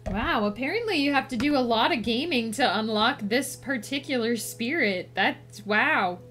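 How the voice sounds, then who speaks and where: A woman's voice: fairly high pitch, lively intonation, normal speed, a bit bright, faint music behind. A woman livestream host chatting casually with viewers in a playful, cutesy put-on character voice.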